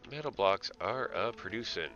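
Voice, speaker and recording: Very deep-voiced man streaming a game. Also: expressive, normal speed, a bit bright, lo-fi sound. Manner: mutters to himself, playful asides to game characters. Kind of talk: casual live gameplay commentary, thinking aloud.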